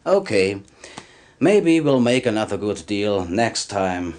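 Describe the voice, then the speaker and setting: A sly, low-voiced arms dealer. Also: male voice, sing-song, slow, background noise. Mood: smug, amused. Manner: smug, chuckling salesman patter. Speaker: game character actor. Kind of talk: video game cutscene acting.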